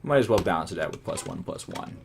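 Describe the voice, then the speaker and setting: A man casually commenting on his own gameplay, rambling and self-amused, his voice going hoarse. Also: low-pitched, expressive, fast talker, slight background noise. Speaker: let's play commentator.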